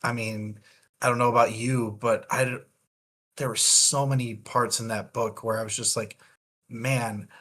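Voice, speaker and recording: A man's voice: medium pitch, little pitch movement, measured pace, bright, forceful tone. A man co-hosting a casual book discussion, thinking aloud in long, winding points. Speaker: podcast co-host.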